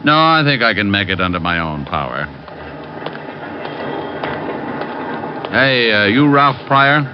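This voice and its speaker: A man's voice: on the low side, big pitch swings, moderate pace, background noise. An American man playing a cool, wisecracking hard-boiled private detective in a 1940s radio drama, flippant and sardonic. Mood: wry, needling. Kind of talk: 1940s radio detective acting, with first-person narration. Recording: dull 1940s radio broadcast recording, music.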